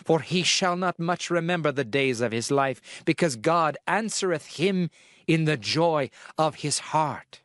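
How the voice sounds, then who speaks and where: A man giving a dramatized reading of King James scripture in a solemn, measured, deliberate way. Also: a little high, animated, a bit bright, dry recording, studio quality. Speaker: scripture narrator. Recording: music behind the voice. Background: music.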